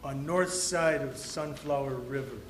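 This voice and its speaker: A man's voice: mid-range voice, unhurried, lightly acted. Man playing a terse, loyal Western scout, echoey. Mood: stolid, earnest. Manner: speaks in clipped, broken English. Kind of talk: old-time Western radio re-enactment. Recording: roomy, echoey with background noise.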